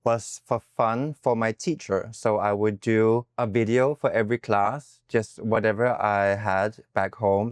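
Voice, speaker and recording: A young man from Denmark chats casually as a podcast guest. His voice sits low and sounds smooth and clear. The sound is dry and close, and he drops Tagalog phrases into his English. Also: dry recording.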